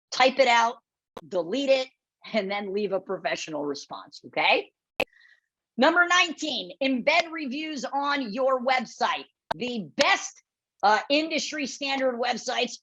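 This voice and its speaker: A woman with a loud voice presenting a webinar, blunt and direct, often checking in with the audience as she coaches. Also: fairly high pitch, slightly rough. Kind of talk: instructional webinar talk. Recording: dull lo-fi webinar audio.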